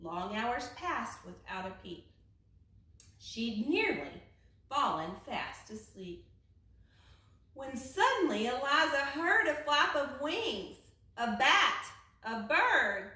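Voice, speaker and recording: A woman reading a children's picture book aloud like a teacher at storytime, with dramatic hushed and raised phrases. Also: high voice, very animated, slow pace. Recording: echoey room, distant from the mic.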